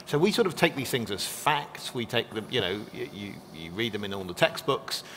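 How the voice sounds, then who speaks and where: A man being interviewed as a guest scholar, explaining history quickly and in a friendly way. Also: lowish voice, very animated, a bit bright. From not from Texas. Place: church classroom or hall. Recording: long hall reverb with faint noise.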